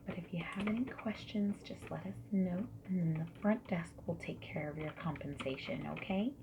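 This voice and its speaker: A woman speaking softly and breathily, often dropping to a whisper, in a gentle, friendly ASMR survey-interviewer roleplay. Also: on the low side. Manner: gentle, friendly, unhurried customer-service politeness. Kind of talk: soft-spoken ASMR roleplay. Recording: lo-fi, noisy with keyboard typing and clicks. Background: mechanical keyboard typing and clicks.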